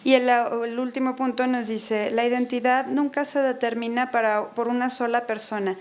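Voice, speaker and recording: A woman interprets a lecture phrase by phrase in a university auditorium. Her voice is somewhat high, and she hesitates often, filling gaps and restarting words to correct herself. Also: fairly even intonation, normal speed. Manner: hesitant, many fillers and self-corrections.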